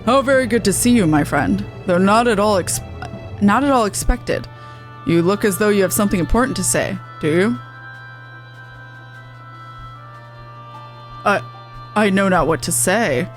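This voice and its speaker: A woman reading the game dialogue of an earnest, courteous male refugee leader in a formal, measured manner. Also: mid-range voice, animated, over music, close to an everyday voice. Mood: surprised, moved.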